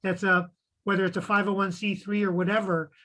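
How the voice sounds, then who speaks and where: High-voiced man, hesitant. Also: flat delivery, brisk, pushed and bright. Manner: hesitant, many restarts. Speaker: commissioner and clerk. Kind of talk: meeting discussion.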